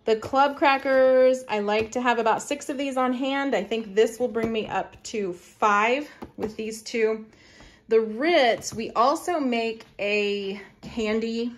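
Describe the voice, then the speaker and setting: Chatty mom showing a grocery haul. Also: female voice, mid-range voice. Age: middle-aged. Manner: chatty, homey, explains family meal plans. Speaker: family lifestyle vlogger. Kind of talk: casual grocery haul show-and-tell.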